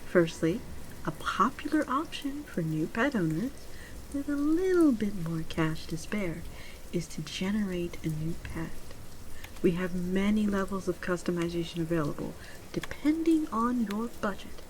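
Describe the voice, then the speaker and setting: Female performer as polite digital pet shop clerk, ASMR roleplay. Soft, measured, near-whispered at times, mid-range voice, audible ambience behind. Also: medium pitch, animated, lightly acted, low-quality recording. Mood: informative, reassuring. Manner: courteous, measured, often dropping into near-whisper. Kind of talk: soft-spoken ASMR roleplay, customer-service patter. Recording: clearly audible ambient background noise.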